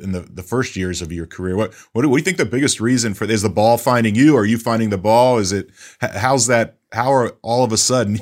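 Low-voiced male sports interviewer. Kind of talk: casual conversational interviewing.

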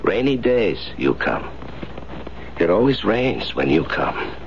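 An actor playing a bitter, unstable husband shut away in an asylum, accusing and repetitive, in a 1970s radio drama. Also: male voice, lowish voice, sing-song, takes it slowly, vocal fry, heavily acted. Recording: muffled, faintly noisy 1970s radio broadcast.

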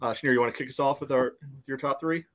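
A man's voice: medium pitch, fairly even intonation, racing, fairly bright tone. An American man hosting a sports podcast, recapping a game and leading the talk, with constant "you know" fillers. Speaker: podcast host. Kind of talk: casual sports chat.

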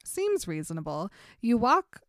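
Young woman podcast co-host, smooth voice, dry booth sound, breezy storyteller who slips into quick quoted voices. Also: fairly high pitch, huge pitch swings, no room sound. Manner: retells scenes with quick put-on quotes, laughing often. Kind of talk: casual book discussion chat.

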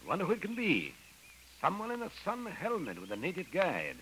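A man's voice: high-pitched, huge pitch swings, fairly quick, bright, forceful tone, heavily acted. An actor playing a pious, fearless middle-aged missionary father in an old radio serial, formal and theatrical, on a noisy vintage recording. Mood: curious. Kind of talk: 1930s radio serial acting.

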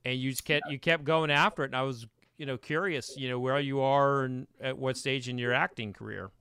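A man hosting an interview show, asking halting questions with lots of fillers. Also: medium pitch, fairly even intonation, bright, forceful tone, smooth, no echo. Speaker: podcast host. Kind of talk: casual interview.

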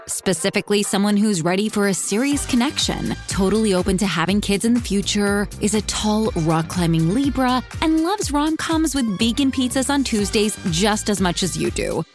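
A woman reading a scripted sponsor ad in a playful, teasing way. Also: medium pitch. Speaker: sponsor read voice-over. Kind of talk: scripted ad read.

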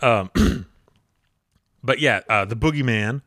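A man's voice: mid-range voice, slow, no room sound, clean studio sound. A man of thirty co-hosting a podcast, chatting casually and animatedly about wrestling and laughing often. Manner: animated, laughs often, quotes people in voices. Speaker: podcast co-host.

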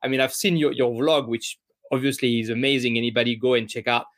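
Halting male podcast host. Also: mid-range voice, steady tone, fairly bright tone. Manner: halting, stumbling over his words. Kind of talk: casual interview.

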